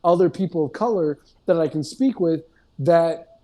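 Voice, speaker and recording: A man's voice: on the high side, moderate pace, dark tone. A man in a casual group discussion, talking in a loose, digressive way and often checking in with "you know what I mean".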